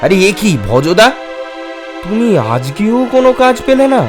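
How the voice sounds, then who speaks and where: Man playing slow-talking, oily village schemer. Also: high-pitched, very animated, over music. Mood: mock sympathy. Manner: oily, wheedling, falsely sympathetic. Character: sly, scheming male cart puller feigning friendly sympathy. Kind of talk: animated moral story acting.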